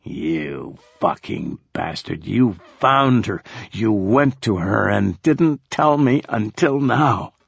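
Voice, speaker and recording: A man's voice: lowish voice, lively intonation, slow, creaky, hardly any pauses, breathy edge, no room sound, exaggerated voice. A man voicing a shocked, bitter man cursing under his breath. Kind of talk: audiobook character voice. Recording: dull lo-fi sound.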